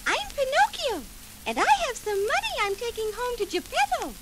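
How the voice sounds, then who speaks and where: An eager little puppet boy, very high and childlike, with wildly swooping pitch. He sounds naive and earnest, as on a 1940s children's story record. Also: huge pitch swings, moderate pace, very noisy, heavily acted. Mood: eager, curious. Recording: old 78 rpm record with music.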